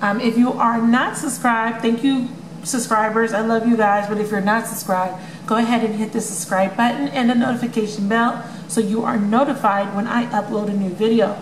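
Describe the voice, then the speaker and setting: A woman gives a rambling tarot love reading straight to her viewers, chatty and full of ums. Her voice is strained from many readings and may crack. She is recorded in an echoey room with a steady hum of noise behind her. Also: a little high, normal speed. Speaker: tarot reader. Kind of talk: casual tarot reading vlog. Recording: echoey room with noisy background.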